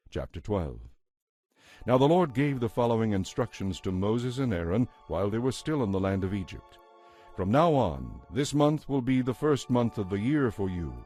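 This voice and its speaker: A man narrating scripture from a script as a solemn, measured storyteller. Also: lowish voice, a little mellow.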